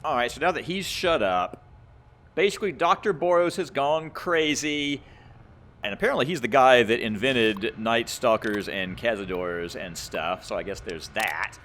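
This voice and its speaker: A man's voice: mid-range voice, animated, normal speed, fairly bright tone. A man giving casual, explanatory commentary as he plays a game, rambling a little as he thinks aloud. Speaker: gamer, let's play host.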